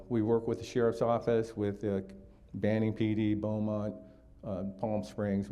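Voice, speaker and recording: Older man, low dark voice, presenting. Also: a little hiss or hum. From Hawaii. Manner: steady explanatory delivery with frequent fillers. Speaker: district attorney's office speaker. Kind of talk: community presentation talk.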